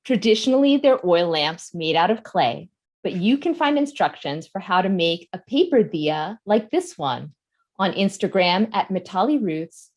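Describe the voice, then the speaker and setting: A woman's voice: on the low side, big pitch swings, moderate pace, a little mellow. A woman in her thirties with an American accent, a picture book author presenting trivia for children. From United States. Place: indoor room with bookshelves.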